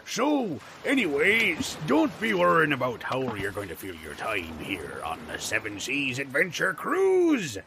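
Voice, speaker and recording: Bright-voiced, swooping pirate cruise captain played by a man. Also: mid-range voice, huge pitch swings, measured pace, vocal fry, few pauses, exaggerated voice. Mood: jovial, blustering. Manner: theatrical, blustering. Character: hearty, smarmy pirate cruise-ship captain welcoming passengers. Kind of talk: improvised audio comedy. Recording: faint background noise.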